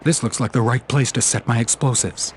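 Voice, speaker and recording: A man's voice: medium pitch, steady tone, fairly quick, faint music behind, natural voice. A man playing a cool, wry, self-assured secret agent in a video game. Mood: cool, musing. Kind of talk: scripted video game dialogue.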